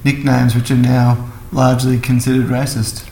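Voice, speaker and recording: A man's voice: medium pitch, little pitch movement, moderate pace, vocal fry, warm tone. An Australian man from Melbourne, co-hosting a casual chat, joking and laughing often. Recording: roomy, with faint background hiss.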